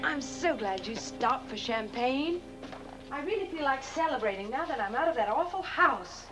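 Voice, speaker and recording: A woman's voice: high-pitched, expressive, moderate pace, music in the background, poor audio. A woman playing a self-centred, fretful wife in a horror-comedy film, with a dramatic, imperious way of talking. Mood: pleased. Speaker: actress.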